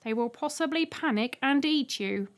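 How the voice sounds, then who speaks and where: A high, emphatic little male robot, acted out by a woman narrator. He is a bossy, showy guide in a storybook, projecting his words with jumpy energy. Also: lively intonation, moderate pace. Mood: warning.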